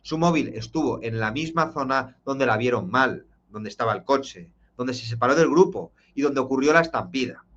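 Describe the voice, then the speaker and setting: A man, streaming live and recounting a true crime case in an emphatic, dramatic way, slowing down for key points. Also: mid-range voice, fairly bright tone, muffled recording.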